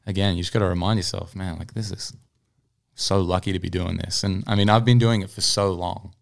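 A man's voice: low-pitched, fairly even intonation, fairly quick, creaky. A man speaking as an interview guest, a singer-songwriter telling anecdotes in an easygoing, conversational way. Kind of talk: casual interview chat, anecdotes.

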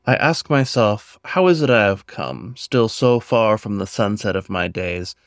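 A man reciting his own poem in an earnest, measured, dramatic way, with deliberate pauses between phrases. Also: fairly low pitch, sing-song, no room sound, clean studio sound. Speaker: poet and podcast host reading own poem.

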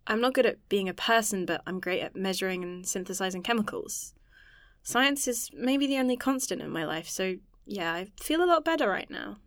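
A woman's voice: medium pitch, expressive, moderate pace, fairly bright tone, dry recording, studio quality. A woman acting in an audio drama as an earnest, anxious scientist who rambles self-consciously. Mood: confiding.

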